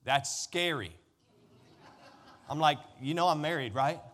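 A man's voice: on the high side, measured pace, fairly bright tone, slight background noise. A middle-aged American man preaching a sermon in a conversational, emphatic way, with storytelling, repetition and rhetorical questions. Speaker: pastor preaching.

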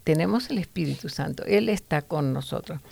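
A woman gives an earnest Bible teaching, stopping often to restart a phrase. Her voice is low and gravelly. The sound is close and dry. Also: expressive, moderate pace, darkish tone, no echo. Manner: earnest, preachy, with fillers and restarts.

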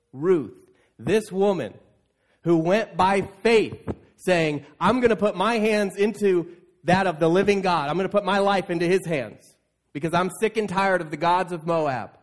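A man preaching as a pastor to his congregation, retelling scripture in a conversational, storytelling way and acting out casual paraphrased quotes. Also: on the high side. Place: church, speaking to an assembled congregation.

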